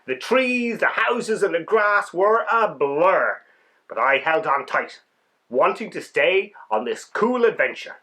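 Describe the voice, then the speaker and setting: Man voicing an excitable little winged bug, lively pitch. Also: takes it slowly, full character voice. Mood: excited, amazed. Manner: wide-eyed, dramatic, emphatic first-person telling. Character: small excitable winged insect narrating its adventure. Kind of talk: children's storybook read-aloud.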